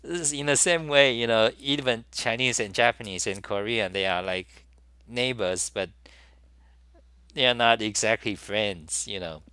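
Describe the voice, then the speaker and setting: A man's voice: lowish voice, measured pace, sharp tone, smooth, no room sound. A middle-aged man, talking alone to camera in a meandering monologue full of "you know" and restarts. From Taiwan. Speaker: talk show host. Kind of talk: rambling solo monologue. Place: small room at a desk.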